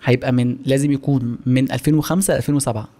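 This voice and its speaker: Fast-talking young Egyptian man. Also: mid-range voice, fairly even intonation, mellow. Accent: Egyptian Arabic. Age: 20s. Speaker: podcast guest. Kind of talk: casual podcast chat. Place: small home-style studio office, indoors. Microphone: close studio microphone on boom arm.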